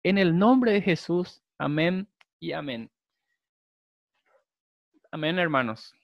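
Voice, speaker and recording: A young adult man, a Guarani speaker, preaching a sermon, with slow, emphatic repetition. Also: on the high side, expressive, gravelly, no echo, lo-fi sound. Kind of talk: sermon with prayer.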